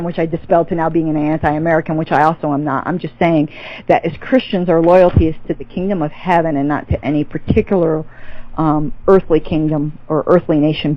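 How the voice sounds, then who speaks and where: A woman's voice: sits low, dull audio. A woman on a podcast as a guest, talking through theology in a thoughtful way with many restarts and fillers. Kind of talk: casual theological interview.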